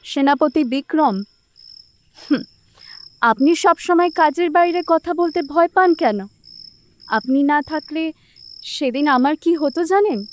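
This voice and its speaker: A woman playing a resolute, caring young princess in an animated fairy tale. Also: high voice, a little slow, long pauses. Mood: tender, probing.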